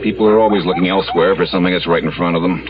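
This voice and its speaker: An actor playing a tough, shrewd American police lieutenant in a radio drama, terse and commanding. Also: male voice, low-pitched, fairly even intonation, very fast, over a noisy crowd, lightly acted. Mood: shrewd, determined. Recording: muffled old radio broadcast, music underneath.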